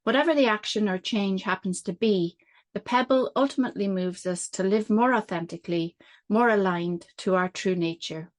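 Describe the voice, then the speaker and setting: A woman hosting a podcast, reading a measured introduction and then asking questions in an earnest way. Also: mid-range voice. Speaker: podcast host. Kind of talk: scripted intro, then interview.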